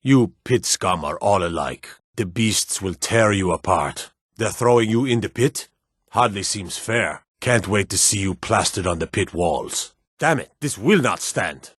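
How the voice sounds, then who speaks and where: An actor playing a stern, menacing fantasy city guard in a video game, gruff and authoritative, with a weary, corruptible streak. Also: male voice, on the low side, animated, unhurried, fairly bright tone, no room sound, clean studio sound, full character voice. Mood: contemptuous. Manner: gruff, authoritative, clipped threats. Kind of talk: scripted fantasy game voice lines.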